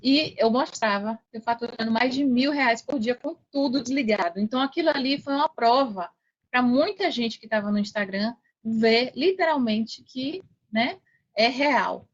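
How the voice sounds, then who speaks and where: A woman's voice: a little high, normal speed. Brazilian woman, dull lo-fi call audio. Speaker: podcast guest, affiliate marketer. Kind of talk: casual interview talk, recounting experience. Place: online video call.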